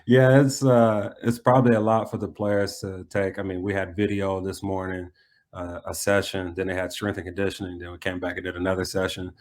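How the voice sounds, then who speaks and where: A man with an American accent speaks in a low voice that stays very even. He sounds like a coach being interviewed, answering in a conversational way. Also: a little fast. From United States.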